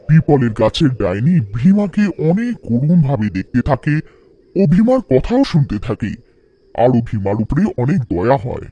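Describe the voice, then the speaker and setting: Animated, mellow male storyteller. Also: medium pitch, moderate pace, husky, no room sound, dull audio. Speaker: narrator. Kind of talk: scripted children's folktale narration.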